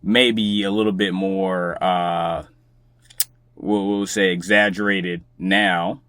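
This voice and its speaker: A man's voice: deep voice, fairly even intonation, slow, a bit bright, no echo, clean studio sound. A man speaking as a podcast guest in casual, reflective conversation, with a laid-back, colloquial, musing manner.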